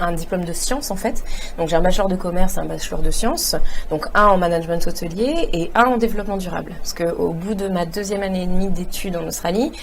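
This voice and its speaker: Woman in her 30s, talk show guest, earnest and excited, lots of "euh" and restarts, lowish voice, faint room hum behind. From Réunion. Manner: earnest and enthusiastic, with many fillers and restarts. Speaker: guest, entrepreneur. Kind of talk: conversational interview answers.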